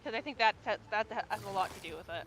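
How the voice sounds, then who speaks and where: A woman's voice: mid-range voice, quick pace, very noisy. Swearing, rambling young woman streamer. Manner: rambling, swears freely, many restarts. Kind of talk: casual gaming chat.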